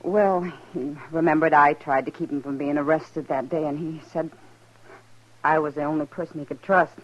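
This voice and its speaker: A woman's voice: low-pitched, fairly even intonation, moderate pace, slight background noise, close to an everyday voice. An actress playing a worried, tender-hearted woman of a frontier town in a 1950s radio western. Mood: uneasy. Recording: dull lo-fi old radio broadcast.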